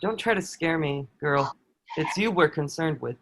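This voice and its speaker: A man's voice: a little high, moderate pace, background noise, lightly acted. A man playing a proud, dutiful enemy soldier who is secretly awed by his captive, in an earnest, formal table read of a historical drama. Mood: stern. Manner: earnest, formal archaic phrasing.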